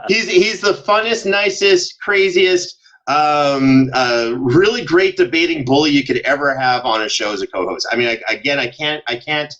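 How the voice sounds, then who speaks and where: A man chatting casually as a podcast guest, telling animated stories and acting out the exchanges he quotes. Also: on the high side, moderate pace, muffled recording. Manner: banters, acts out quoted exchanges. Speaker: podcast guest, show co-host and audio drama producer.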